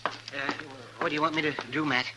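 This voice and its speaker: An actor playing an anxious, kindly older country doctor of the American West, hesitant and fussy, in 1950s radio western style. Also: male voice, fairly high pitch, fairly even intonation, a little fast, close to an everyday voice. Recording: noisy vintage radio broadcast.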